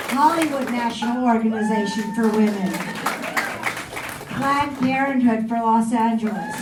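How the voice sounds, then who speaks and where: A woman giving formal opening remarks at an event in a deliberate, measured way, reading out acknowledgements to an audience. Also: high voice. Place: indoor event room with an audience. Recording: echoey hall, distant mic, loud crowd noise.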